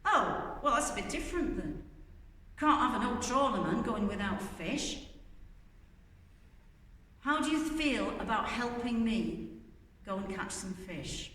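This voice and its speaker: A woman's voice: a little high, expressive, unhurried, long pauses, a bit bright. A brusque, busy fishing boat skipper who talks curtly and impatiently, as a woman reading a storybook puts him on. The voice echoes around a large hall from a distant mic. Faint noise runs underneath. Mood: friendly.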